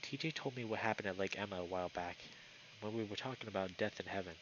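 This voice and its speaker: A man voicing an earnest, gentle young male buck in a visual novel, speaking softly and hesitantly with concern. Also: lowish voice, fast, dull audio, a little hiss or hum, natural voice. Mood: hushed concern. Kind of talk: visual novel character read aloud by a streamer. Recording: music behind the voice.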